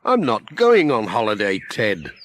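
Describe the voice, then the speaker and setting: A man's voice: lowish voice, wildly swooping pitch, unhurried, fairly bright tone, heavily acted. A man voicing a cheerful, friendly village postman in a storybook reading, with hearty, jolly exclamations.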